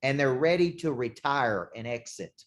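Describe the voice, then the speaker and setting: A man's voice: medium pitch, expressive. Intense man giving a punchy sales pep talk. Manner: intense, punchy repetition, slows for emphasis. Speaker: sales coach. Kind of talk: motivational talk to a show host. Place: condo.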